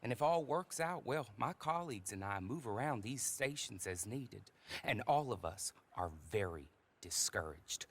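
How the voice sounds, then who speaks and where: An actor playing a genteel, sardonic frontier stagecoach agent with formal, wry, mannered speech in a video game cutscene. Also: male voice, on the low side, animated, a little slow, fairly bright tone, breathy edge, exaggerated voice. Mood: wry courteous.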